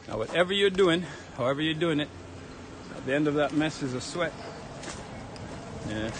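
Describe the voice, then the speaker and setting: A man's voice: fairly high pitch, expressive, normal speed, background noise. A man with a Jamaican accent chatting casually to viewers on a livestream while walking uphill outdoors, a bit out of breath. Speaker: livestreaming fitness vlogger. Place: outdoors on a hill road. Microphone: phone held while walking.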